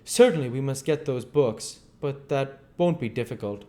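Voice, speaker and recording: A man voicing a guarded, educated gentleman in a storybook, speaking in a measured, dry and probing way. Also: medium pitch, lively intonation, pitched above the performer's own voice, natural voice. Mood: nervous.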